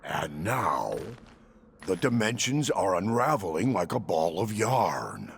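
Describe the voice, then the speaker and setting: Give this one a grandiose, melodramatic warrior from a video game. He is gravelly and slow, with boastful flourishes that swing from hushed to booming. Loud ambient noise sits behind the voice. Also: sing-song, exaggerated voice. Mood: boastful, dramatic. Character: bombastic theatrical male warrior wandering between dimensions, boastful and melodramatic. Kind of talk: theatrical video game cutscene acting.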